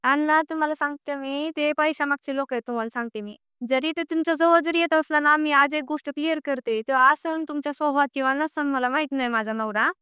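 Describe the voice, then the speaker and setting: A woman's voice: high-pitched, brisk, few pauses, bright, forceful tone, dry recording, clean studio sound. A young woman, speaking insistently and pleadingly as she airs a grievance on a recorded phone call. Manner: insistent, aggrieved, pleading, repeats herself. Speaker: caller with a personal grievance. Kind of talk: emotional private phone conversation.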